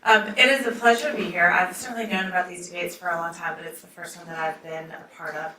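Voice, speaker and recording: A soft-spoken woman judge moderating a law school debate, opening with remarks and reading from a ruling, with many ums. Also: lowish voice, fairly even intonation, fast, noisy background. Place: lecture hall. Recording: echoey hall, mic at a distance.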